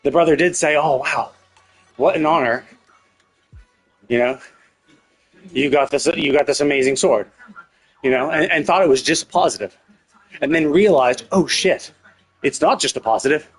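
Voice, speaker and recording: A man's voice: fairly high pitch, moderate pace, long pauses, slightly rough, soft background music, rough recording. A man livestreaming casual commentary on a game's story, thinking aloud in a rambling, opinionated way. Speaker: live streamer.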